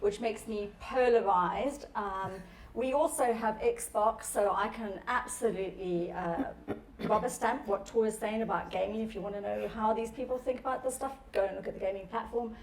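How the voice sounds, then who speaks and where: A woman's voice: medium pitch, moderate pace. A middle-aged woman from Zambia speaking informally as a security panelist at a conference. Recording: echoey room, distant mic, background noise.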